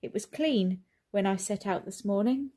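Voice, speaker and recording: A woman voicing a gruff, bitter male taxi driver in a children's story, growling his complaints. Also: medium pitch, moderate pace, mellow, close to an everyday voice. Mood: gruff, grumpy. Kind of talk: children's storybook read aloud.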